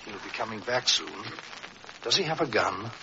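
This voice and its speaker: An actor playing a resolute, protective police inspector in an old-time radio drama, urgent and earnest. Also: male voice, lowish voice, expressive, moderate pace, pushed and bright. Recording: hissy, dull vintage radio recording.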